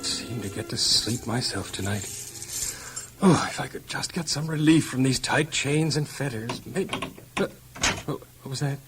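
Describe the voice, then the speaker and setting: An actor playing a patient, devout male prisoner, speaking gently and earnestly in a children's audio drama. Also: mid-range voice, unhurried, lightly acted. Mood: weary, startled. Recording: music underneath.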